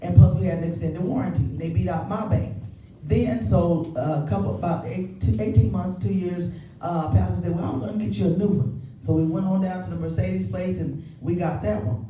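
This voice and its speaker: A woman's voice: on the low side, brisk, dark tone, a little hiss or hum. A woman preaching in a conversational storytelling way, giving testimony and urging her listeners to respond. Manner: conversational storytelling with exhortations and repeated appeals to listeners. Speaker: church preacher. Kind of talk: testimonial sermon with call and response. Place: large indoor church space. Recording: echoey church hall, distant, music behind.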